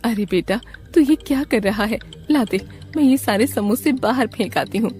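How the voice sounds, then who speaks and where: A woman's voice: fairly high pitch, moderate pace, darkish tone, faint music behind, lightly acted. Woman voicing a caring, coaxing village mother. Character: caring, pious village mother of a grown son. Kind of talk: cartoon story dialogue.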